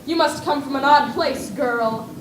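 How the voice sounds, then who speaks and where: A woman's voice: sits high, measured pace, roomy sound, distant mic, slight background noise, rough recording. A woman playing a fussy, touchy male egg in an amateur stage play. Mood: huffy. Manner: huffy, prim, easily offended.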